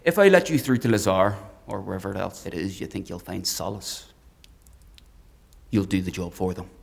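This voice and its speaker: An actor playing a menacing, fanatical hunter in a dark fantasy audio drama, speaking in a deliberate, taunting, theatrical way. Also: male voice, low voice, creaky. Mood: cold menace. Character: menacing male fanatical hunter, coldly articulate, mocking.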